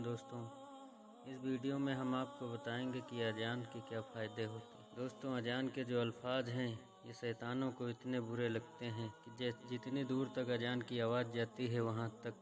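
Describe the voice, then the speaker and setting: Male religious explainer host, medium pitch, narrow intonation, earnest direct address. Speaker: presenter of religious videos.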